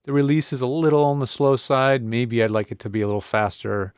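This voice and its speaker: A man's voice: medium pitch, little pitch movement, fairly quick, clear, resonant tone. A man presenting a casual hands-on audio gear tutorial, thinking aloud in a conversational way on a close studio microphone. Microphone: close studio podcast microphone.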